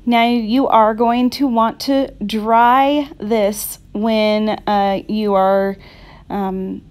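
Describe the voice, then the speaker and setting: A woman giving a casual painting tutorial in an unhurried, halting, reassuring way. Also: medium pitch, level tone, a little mellow, smooth. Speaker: art instructor. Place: indoors at a craft table.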